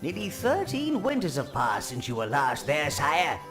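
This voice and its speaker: Theatrical old minion adviser, wildly swooping. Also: male voice, a little high, huge pitch swings, moderate pace, over music. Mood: ominous relish. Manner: obsequious, theatrical, dry understatement. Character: elderly wheedling minion adviser, servile yet drily sinister. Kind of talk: scripted video game character acting.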